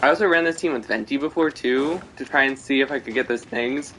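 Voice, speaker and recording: A man's voice: medium pitch, brisk, fairly bright tone, a little hiss or hum, rough recording. A man chatting casually as he commentates his gameplay on a livestream. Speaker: game streamer. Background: faint game music.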